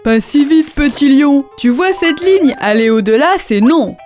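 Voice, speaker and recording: Competitive, bossy cartoon chaser, acted by a woman: high, emphatic and sing-song, with light music underneath. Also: animated, measured pace, darkish tone. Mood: scolding. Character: competitive, bossy cartoon character chasing a lion cub. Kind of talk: rhyming children's cartoon dub with narration.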